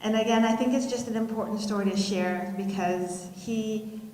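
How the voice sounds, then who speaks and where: A middle-aged woman giving a keynote speech, telling personal stories in a measured, reflective way with pauses. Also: medium pitch, steady tone, warm tone, a little hiss or hum. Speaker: keynote speaker. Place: school auditorium, live audience. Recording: roomy hall reverb.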